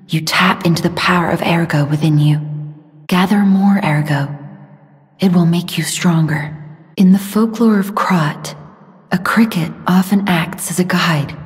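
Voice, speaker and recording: A woman playing a gentle, solemn young mystic who guides a hero in a video game, speaking softly and breathily with hushed, earnest pleading. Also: low voice. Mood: gentle guidance. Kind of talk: video game voice acting, slow earnest exposition. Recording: long reverb, music behind. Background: music.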